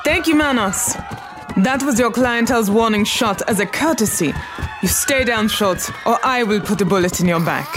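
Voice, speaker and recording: A woman's voice: fairly high pitch, animated, moderate pace, natural voice. Actress as a steely crime queen over music. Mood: cold threat. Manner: clipped, authoritative. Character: commanding Harlem numbers queen, cool, steely French woman. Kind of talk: period radio drama acting.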